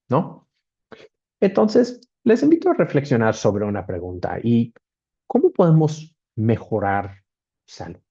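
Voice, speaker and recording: A man's voice: mid-range voice, vocal fry, dark tone, highs cut off. A man from Australia speaking Spanish with an Australian accent, giving a webinar presentation with frequent fillers and restarts. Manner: hesitant, with many fillers and restarts.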